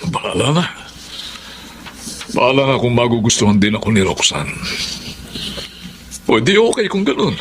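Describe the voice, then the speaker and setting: A man's voice: medium pitch, big pitch swings, normal speed, pauses often, fairly bright tone, a little hiss or hum. A man playing a shy, lovestruck older boss. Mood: wistful. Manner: hesitant, stammering, tender. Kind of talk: Tagalog radio-style drama acting.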